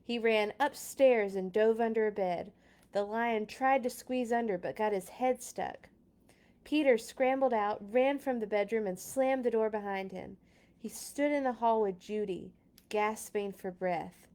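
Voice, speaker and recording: A woman narrating a children's storybook aloud in an expressive read-along style. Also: fairly high pitch, measured pace.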